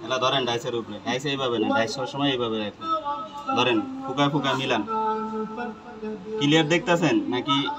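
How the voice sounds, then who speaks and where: A man's voice: medium pitch, brisk, long pauses, loud music behind the voice, poor audio. A man with a colloquial Bangladeshi accent coaching a student hands-on as a repair trainer, in short, repeated commands. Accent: Bangladeshi colloquial Bengali.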